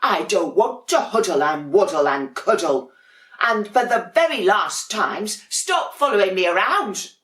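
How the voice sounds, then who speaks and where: A woman's voice: mid-range voice, lively intonation, unhurried, fairly bright tone, echoey room, voice pushed up. A woman voicing a grumpy young male penguin in a children's storybook, protesting emphatically and indignantly.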